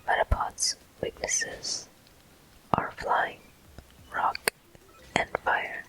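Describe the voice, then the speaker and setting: A game walkthrough host delivers ASMR commentary entirely in a whisper. The phrases come very slowly, with pauses between them. The breathy hush has a bright, crisp edge and sits close to the microphone. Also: faint music behind, poor audio. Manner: slow, unhurried phrases with pauses.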